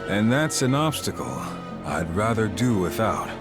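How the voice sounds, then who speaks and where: An actor playing a cunning, smug warrior commander in a video game, speaking in a suave, sardonic, measured way. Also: male voice, lowish voice, big pitch swings. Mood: smug, calculating. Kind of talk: dramatic video game voice acting. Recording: game music under the voice.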